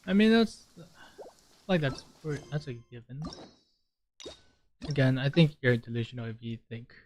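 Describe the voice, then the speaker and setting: A man's voice: mid-range voice, very animated, measured pace, a little mellow, a little hiss or hum. A man chatting bluntly and casually, giving his opinions as he streams a game. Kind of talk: casual opinionated chat while gaming.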